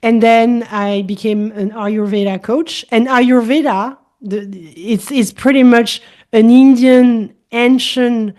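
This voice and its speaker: A woman's voice: fairly high pitch, fairly even intonation, slow, dark tone. A middle-aged woman speaking English with a French accent, a holistic coach explaining her ideas as a guest in a casual interview, thoughtful and repeating her key points.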